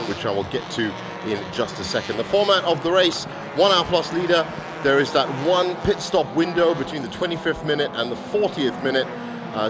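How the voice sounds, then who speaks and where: A man with a slightly hoarse voice giving chatty, enthusiastic live motorsport commentary. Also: mid-range voice. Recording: loud engine and outdoor circuit noise. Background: cars and trackside ambience.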